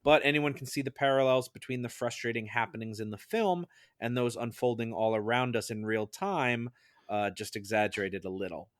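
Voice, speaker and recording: A man's voice: medium pitch, moderate pace, no echo, studio quality. A man hosting a podcast in casual chat, with a teasing, interjecting manner. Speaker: podcast host.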